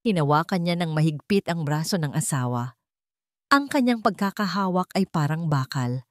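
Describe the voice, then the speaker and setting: Expressive woman narrator, close dry sound. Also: low-pitched, normal speed, no echo. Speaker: story narrator. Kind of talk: scripted dramatic narration of a Tagalog story.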